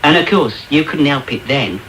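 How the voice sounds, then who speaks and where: Bullying teenage apprentice, sneering, lively swooping delivery, noisy radio drama tape. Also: male voice, fairly high pitch, moderate pace. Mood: mocking, cruel. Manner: taunting, mock-pompous. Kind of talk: British radio drama acting. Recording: noisy, crackly medium-wave radio tape.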